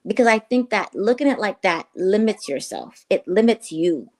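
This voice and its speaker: A woman co-host on a morning talk show who speaks candidly and in a relaxed way. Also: mid-range voice, moderate pace. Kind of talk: casual radio talk.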